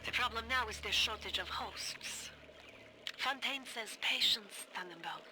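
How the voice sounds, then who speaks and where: A woman acting a cold, clinical scientist who dictates measured research notes in a game audio diary. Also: fairly high pitch, background noise, close to an everyday voice. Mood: detached, calculating. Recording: loud music over the voice.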